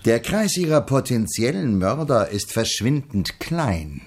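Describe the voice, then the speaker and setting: An actor playing an urbane, skeptical male publisher in a radio play, measured and wryly objecting. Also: medium pitch, very animated. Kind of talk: German radio play dialogue.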